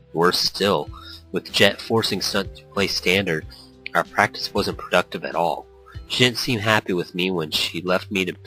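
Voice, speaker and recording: A man's voice: deep voice, bright, forceful tone, poor audio. A man casually reading a visual novel aloud and joking about it, stumbling and correcting himself as he goes. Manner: jokey, laughs often, reads with stumbles and self-corrections. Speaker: let's play host reading a visual novel. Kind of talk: casual commentary and reading aloud with quoted character lines.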